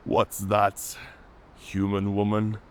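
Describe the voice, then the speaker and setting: A man's voice: lowish voice, completely flat delivery, slow pace, a little hiss or hum. A man playing a wounded, wry, talkative werewolf in human form, theatrical and bantering, in an audio drama. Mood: wary, bargaining. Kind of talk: cinematic audio roleplay acting.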